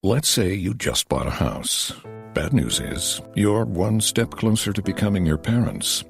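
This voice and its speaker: A man reading a comedic commercial script in a deadpan manner. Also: lowish voice, unhurried, creaky, over music. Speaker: commercial narrator.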